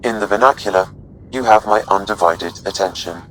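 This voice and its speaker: A man performs a calm shipboard AI from a sci-fi game, low in pitch and courteous. Every phrase is measured, formal and precise. A faint rumbling noise sits behind the voice. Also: lightly acted, poor audio. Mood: calm, explanatory. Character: calm, courteous male artificial intelligence aboard a spaceship. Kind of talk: video game voice acting.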